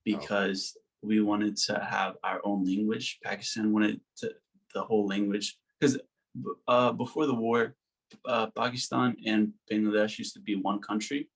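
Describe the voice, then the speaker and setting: Young male podcast guest, gravelly, casual and halting. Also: fairly low pitch, level tone, rough recording. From United States. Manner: hesitant, many ums and likes. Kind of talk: casual chat.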